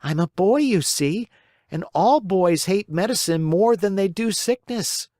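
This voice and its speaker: A storyteller voicing a whiny, stubborn wooden marionette boy in a high, strained, whining voice. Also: lively intonation, unhurried, mellow, no echo, clean studio sound, close to an everyday voice. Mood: cheerful. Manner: bargaining, then wailing and pleading.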